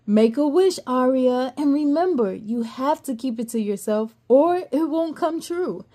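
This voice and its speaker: A woman's voice: high-pitched, animated, measured pace, mellow. A woman voicing a cheerful father who sounds encouraging in a storybook.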